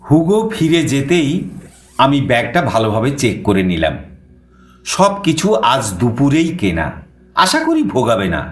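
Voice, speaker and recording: An actor playing an adventurous, eager explorer who narrates his own suspense audio story in an expressive manner. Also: mid-range voice, lightly acted. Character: male first-person narrator, adventurous, eager explorer visiting Paris. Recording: echoing voice over background music.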